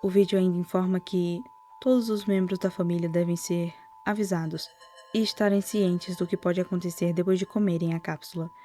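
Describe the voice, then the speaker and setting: A Brazilian woman narrating a scripted horror commentary in a soft-spoken way, with lightly wry asides. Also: on the low side, steady tone, moderate pace. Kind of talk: whispered-style scripted narration, storytelling commentary. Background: music.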